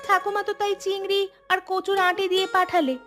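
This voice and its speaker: A young village girl from a cartoon, voiced by a woman, high-pitched, polite and helpful. Music plays clearly behind her. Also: little pitch movement, brisk. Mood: earnest. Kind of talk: cartoon voice acting.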